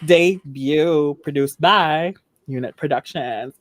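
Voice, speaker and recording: Eager woman, unhurried talk. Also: low voice, heavy background noise. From Philippines. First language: Filipino. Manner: earnest, enthusiastic, many fillers like "like" and "you know". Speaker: dance company member. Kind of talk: casual group chat.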